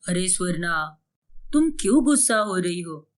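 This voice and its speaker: A woman's voice: lowish voice, big pitch swings, a little slow, voice pushed down. Woman doing a placating, indulgent older husband. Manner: soothing, reasoning.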